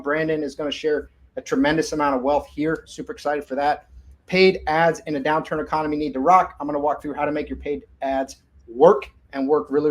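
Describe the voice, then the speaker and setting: A man with an American accent hosts a webinar, presenting in an informal, businesslike style. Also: on the high side. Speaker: webinar host and marketing agency owner.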